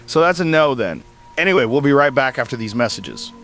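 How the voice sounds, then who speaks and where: Guy playing a blunt skeptical show host, talks fast, casual, music in the background. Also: mid-range voice, expressive, fairly bright tone. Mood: dry, casual. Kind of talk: scripted interview show.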